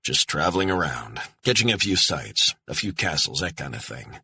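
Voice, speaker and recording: A man's voice: extremely low pitch, sing-song, normal speed, creaky, bright, forceful tone, husky, heavily acted. A man voicing a guarded American male operative with an American accent, talking tersely and laconically.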